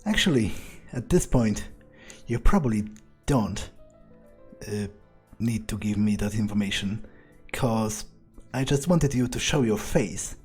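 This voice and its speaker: A man voicing a weary, threatening vampire as in-character video game roleplay, dry and deliberate in manner, with a breathy voice. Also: lowish voice, expressive, a little mellow, soft background music. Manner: deliberate, dry, menacing, swears. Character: brooding, threatening male vampire, weary and impatient.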